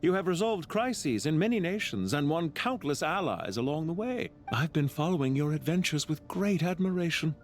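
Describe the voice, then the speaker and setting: A man playing a calm, courteous, scheming villain officer in a video game, speaking in a polite, formal, measured and theatrical way. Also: a little high, faint music behind. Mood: smooth, amused. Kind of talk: scripted video game voice acting.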